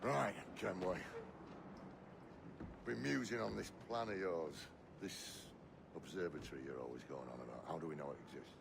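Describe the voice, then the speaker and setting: Gravelly, furious pirate captain. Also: male voice, deep voice, moderate pace, background noise. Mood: grim, gruff. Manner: gruff, snarling and mocking, bursts into furious shouts. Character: fierce, foul-mouthed male pirate captain. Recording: game score under the voice.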